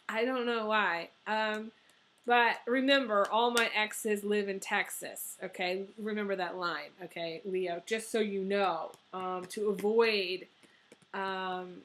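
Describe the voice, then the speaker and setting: A young woman with a slightly hoarse voice, as if she is losing it, chats through a tarot reading. She talks in a loose, rambling way, full of ums and restarts, in a voice of ordinary pitch for a woman. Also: fairly bright tone. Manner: chatty, rambling, many ums. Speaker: tarot reader. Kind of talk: casual tarot reading vlog.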